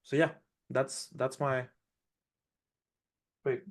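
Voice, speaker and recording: A man with an Indian accent, raised in India, walking through his trades on a live trading show with quick, animated explanations. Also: medium pitch. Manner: fast, animated explaining with restarts. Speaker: day trader presenting a trade recap.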